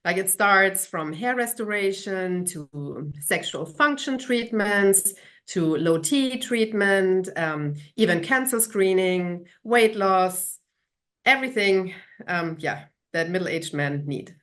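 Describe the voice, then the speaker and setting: A woman's voice: medium pitch, animated, takes it slowly, fairly bright tone. A woman from Germany with a German accent, answering conversationally as a business-owner guest in an interview.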